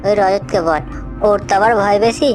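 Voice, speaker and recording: A woman voicing a bold young boy in a cartoon horror story, with brisk, confident, colloquial speech. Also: mid-range voice, lightly acted. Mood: bold, goading. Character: bold young boy, goading his timid friend. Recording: clear music bed behind the voice.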